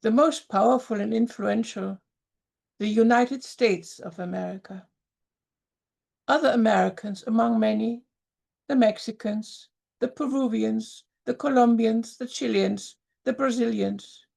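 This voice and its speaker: A woman reads her poems on a video call, taking her time over each word. Her voice is strongly gravelly and sits a bit high. She breaks off for halting asides, then goes back to a measured reading. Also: darkish tone. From Germany. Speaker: open mic poet. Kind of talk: poetry reading at an online event.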